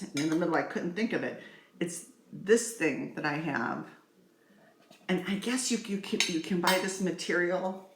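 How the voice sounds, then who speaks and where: A middle-aged woman giving a casual, chatty makeup tutorial, playful and rambling, speaking straight to her viewers. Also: low-pitched. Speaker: makeup vlogger. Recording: echoey room with faint music.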